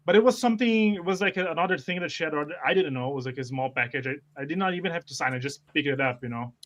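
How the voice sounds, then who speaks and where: Guy with a slightly high voice explaining himself, casual player chat. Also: very fast, a bit bright. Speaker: tabletop roleplay player.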